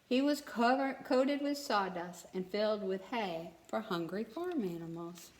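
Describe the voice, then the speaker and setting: A woman storyteller reads to children in an echoey room. Her voice sits at a medium pitch, and her delivery is expressive, addressed directly to young listeners. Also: slight background noise. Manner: pauses to ask young listeners questions.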